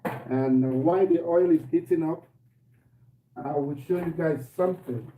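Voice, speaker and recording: A man hosting a live cooking stream from his kitchen, talking casually to viewers with a slightly hoarse voice and repeating himself as he goes. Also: a little high, measured pace, far from the mic. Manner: relaxed, repeats himself, greets viewers by name. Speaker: cooking show host. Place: home kitchen. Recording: echoey room, voice off-mic, faint noise.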